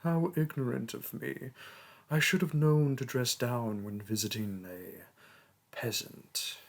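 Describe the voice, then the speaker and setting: Man acting out a slow, husky, pompous mage king. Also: mid-range voice, steady tone. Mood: haughty, mock-gracious. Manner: pompous, theatrical, condescending. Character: pompous, vain mage king, haughty toward his peasant subject. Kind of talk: theatrical roleplay monologue and storytelling.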